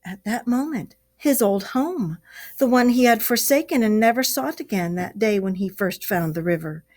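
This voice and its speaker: A teacher reading a classic animal story aloud to children in a slow, expressive storytelling voice. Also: female voice, fairly high pitch.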